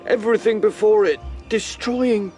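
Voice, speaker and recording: An actor playing a traumatised male rabbit, a former captain, speaking in a halting, haunted and distressed way in a radio drama. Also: sits high, a little mellow, natural voice. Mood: horrified, anguished. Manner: halting, haunted, breaking off mid-sentence. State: shaken, distressed. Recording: music under the voice.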